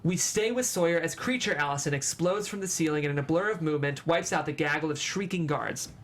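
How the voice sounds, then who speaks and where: A man's voice: fairly high pitch, brisk, fairly bright tone. A man reading stage directions as the narrator of a screenplay table read. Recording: faint room noise.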